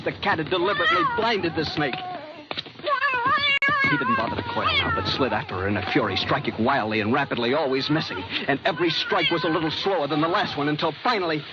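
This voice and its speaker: An actor playing a shaken ship's survivor, telling his story dramatically and deliberately in 1940s radio drama style. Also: a little high, heavy background noise. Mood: tense, horrified. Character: shaken ship's passenger narrating a deadly snake fight. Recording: muffled old radio recording under loud music.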